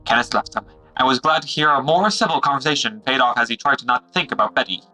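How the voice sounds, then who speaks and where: A man's voice: mid-range voice, quick pace, pushed and bright, soft background music, rough recording. A man playing the dramatic announcer and narrator of an adventure serial, emphatic and theatrical. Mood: storytelling.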